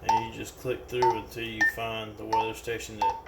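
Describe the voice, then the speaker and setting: Gravelly American man in a roomy recording. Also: lowish voice, little pitch movement, normal speed. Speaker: product reviewer. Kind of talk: casual hands-on product demonstration. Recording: roomy, distant mic with background noise.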